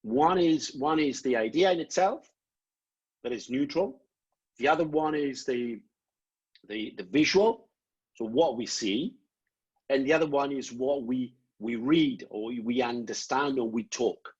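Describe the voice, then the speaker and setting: A middle-aged Italian man with an Italian accent, answering prospective students' questions on a video call, halting and repeating words as he explains. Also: medium pitch, darkish tone, breathy edge. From Italy. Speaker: programme director. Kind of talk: online Q&A answers. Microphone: laptop webcam video call. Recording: dull lo-fi video call audio.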